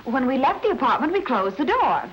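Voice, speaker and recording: Nosy sharp detective wife in old radio mystery, high swoopy voice, bit of music. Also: female voice, big pitch swings, fairly quick, breathy edge, dull audio, heavily acted. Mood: shrewd, pointed. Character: sharp, inquisitive amateur sleuth wife, bright and persistent.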